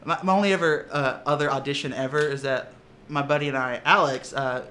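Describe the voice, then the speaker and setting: A man talking casually in an interview as a tabletop player, telling lively stories with frequent laughs and restarts. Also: mid-range voice, fairly quick, a little hiss or hum. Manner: animated, laughing storyteller with many restarts.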